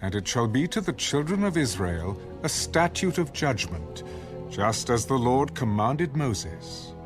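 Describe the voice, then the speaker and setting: A solemn, formal scripture narrator, with a medium pitch and expressive intonation. It is an audio Bible drama with music underneath. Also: male voice, unhurried.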